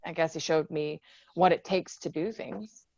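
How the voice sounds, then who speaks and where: A woman's voice: low-pitched, normal speed, darkish tone, no echo, highs cut off. A woman reading the part of a tough, guarded young female Marine lieutenant in a screenplay table read, with blunt, sardonic delivery. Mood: guarded, reflective. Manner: blunt, swearing, defiant.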